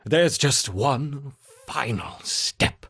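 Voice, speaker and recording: A raspy, frantic mad scientist. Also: male voice, mid-range voice, lively intonation, very slow, a bit bright, exaggerated voice. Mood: wistful, sinister. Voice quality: raspy, husky. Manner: theatrical, muttering, exclaiming to himself. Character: frantic, scheming male mad scientist obsessed with his ants. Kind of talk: video game character acting.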